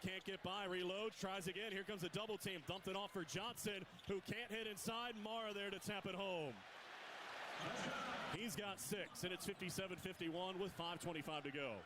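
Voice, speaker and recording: Excited male play-by-play announcer, arena noise. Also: sits high. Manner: rapid, rising excitement on big plays. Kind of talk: live radio basketball play-by-play. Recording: broadcast with loud arena noise.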